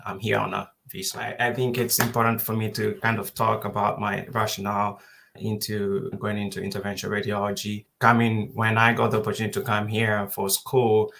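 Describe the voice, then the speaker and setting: A man in his thirties from Kenya, giving an unhurried webinar lecture over a video call and pausing often to think aloud. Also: on the low side, level tone, gravelly, a bit bright, a little hiss or hum. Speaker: guest presenter.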